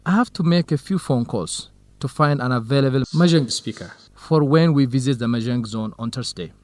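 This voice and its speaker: An actor with an Ethiopian accent playing an organized, courteous, gently grumbling male researcher in an educational radio drama, speaking in a measured, explanatory way. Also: mid-range voice, natural voice. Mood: helpful, practical.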